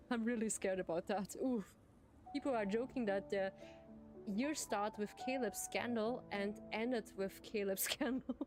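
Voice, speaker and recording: Giggly woman chatting slowly. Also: mid-range voice. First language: German. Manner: giggly, laughs often. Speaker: streamer. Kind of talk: casual chat with viewers. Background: game music.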